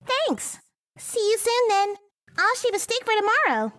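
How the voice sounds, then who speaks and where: A woman voicing a brisk, teasing young female monster hunter in a visual novel game, blunt and matter-of-fact. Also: extremely high pitch, big pitch swings, fairly bright tone, recorded from a distance, heavily acted. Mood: bright, casual.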